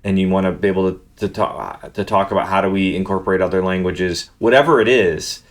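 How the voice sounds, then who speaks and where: A man's voice: sits low, fairly quick. A man talking through a book review in an earnest, thoughtful way, often restarting his words. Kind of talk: unscripted book review monologue.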